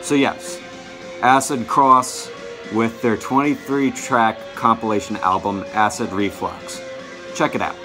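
A young man giving a casual show-and-tell to camera, halting and often correcting himself. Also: on the low side, fairly even intonation, music in the background. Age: young adult. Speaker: vlogger showing a music collection. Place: small bedroom, indoors.

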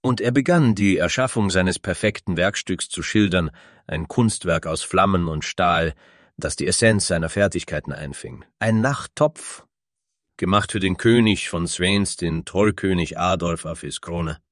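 Very deep-voiced male story narrator. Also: a little slow, dry recording, studio quality. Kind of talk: scripted fantasy story narration.

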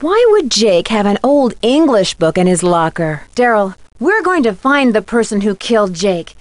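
A woman's voice: mid-range voice, big pitch swings, moderate pace, no room sound, close to an everyday voice. An actress playing an earnest, inquisitive teenage girl detective in a video game, with a direct manner. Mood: probing. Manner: direct, questioning. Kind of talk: scripted game dialogue.

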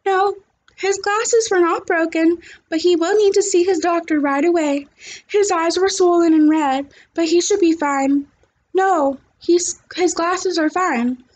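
A woman's voice: extremely high pitch, fairly even intonation, a little slow, a little mellow, voice pushed up. A woman voicing a concerned, businesslike school nurse in a storybook read-aloud, with an emphatic, official manner. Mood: businesslike, reassuring.

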